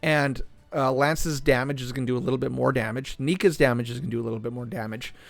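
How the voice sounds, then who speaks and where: A man hosting a gaming channel, talking casually through a game while playing, clearing his throat as he is losing his voice. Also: mid-range voice, quick pace, no echo, soft background music. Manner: relaxed, thinking aloud while playing. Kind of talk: casual gameplay commentary.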